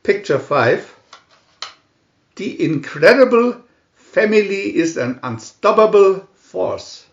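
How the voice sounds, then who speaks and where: A man reading a scripted picture storybook aloud in short, deliberate phrases with pauses between them. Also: high voice, very animated, echoey room, dull audio. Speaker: reader of picture-story booklets.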